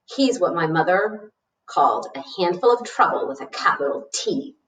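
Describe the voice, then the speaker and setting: A woman's voice: on the low side, animated, moderate pace, fairly bright tone. Boastful seventh-grade boy played by a woman, frank and informal storybook letter, echoey and off-mic. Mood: boastful, chatty. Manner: frank, self-assured, informal. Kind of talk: storybook read-aloud of a letter. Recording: roomy, echoey, distant mic.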